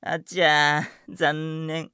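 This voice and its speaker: A man's voice: on the high side, flat delivery, very slow, sharp tone, clean tone, dry recording, clean studio sound, full character voice. An actor playing a cocky, flirtatious male voice actor with breezy, slangy talk in a voice drama. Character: swaggering, flirtatious male voice actor, unhappily married. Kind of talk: voice drama acting.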